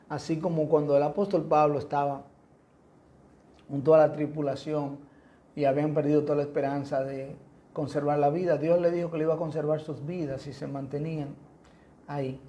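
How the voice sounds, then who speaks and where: A man's voice: a little high, mellow. A man, a pastor with a Dominican accent, preaching a sermon in an emphatic, repetitive cadence. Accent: Dominican Spanish. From Dominican Republic.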